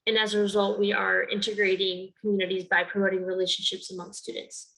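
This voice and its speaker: A young woman of about nineteen from Connecticut, whose first language is Spanish, speaking to the camera in an earnest, measured way as a youth program founder. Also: medium pitch, monotone, vocal fry, a bit bright, low-quality recording. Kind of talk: recorded award-video talk to camera.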